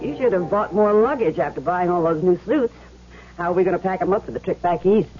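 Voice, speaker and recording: An actress playing a gangster's worried, wary sweetheart in an old radio drama, on a muffled recording with some noise. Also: female voice, on the low side, a little fast, loud music behind the voice, lightly acted.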